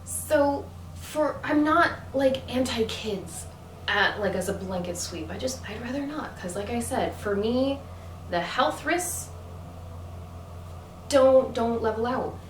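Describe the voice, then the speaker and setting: A woman's voice: fairly high pitch, expressive, takes it slowly. Woman rambling on camera, lots of um and like, kinda far from the mic w faint background hum. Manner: chatty, many fillers and restarts. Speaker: vlogger. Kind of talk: casual storytelling vlog. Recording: echoey room from a distance with faint ambience.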